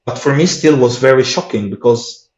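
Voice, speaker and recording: Halting man in echoey room. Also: mid-range voice, a little mellow. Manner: earnest, halting, many restarts and self-repeats. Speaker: guest giving a testimony. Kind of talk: conversational interview testimony. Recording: echoey room, dull lo-fi sound.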